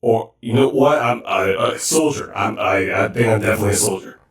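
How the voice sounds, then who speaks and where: A man's voice: on the low side, fairly quick, lightly acted. A man playing an easygoing cyborg soldier in an audio drama, boastful, earnest and prone to rambling. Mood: earnest, defensive. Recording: very echoey, distant-sounding room.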